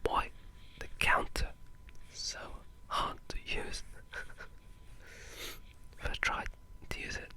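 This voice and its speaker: Whispering ASMR gamer, breathy and rambling. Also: takes it slowly, long pauses, sharp tone. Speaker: gaming ASMR video creator. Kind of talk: whispered ASMR casual game commentary.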